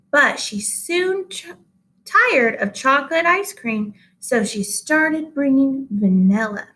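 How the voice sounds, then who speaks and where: A woman with a high voice reads a children's story aloud. She tells it expressively, placing deliberate pauses and emphasis as a teacher would for pupils. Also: sharp tone, poor audio.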